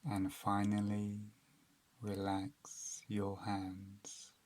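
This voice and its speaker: A man narrating a guided meditation in a calm, soothing, unhurried manner, leaving long pauses between phrases. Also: fairly low pitch.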